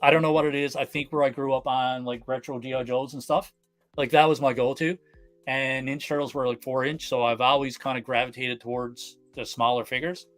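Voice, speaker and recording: Man from Canada, relaxed live chat, middle pitch, dry close sound, faint music. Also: fairly quick, no room sound. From Canada, Newfoundland. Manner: relaxed, chatty, frequent fillers. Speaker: guest collector.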